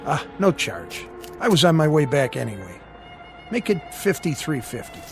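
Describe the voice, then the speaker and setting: An actor playing an easygoing, helpful small-town male mechanic in a radio drama, with a folksy, casual, unhurried way of talking. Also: fairly high pitch, very animated, music in the background. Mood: easygoing, friendly.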